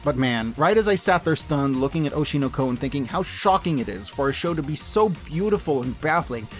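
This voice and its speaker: A man's voice: a little high, animated, brisk, soft background music. A man hosting a scripted media review, speaking casually and emphatically with enthusiasm and salty language. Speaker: host of a media review channel.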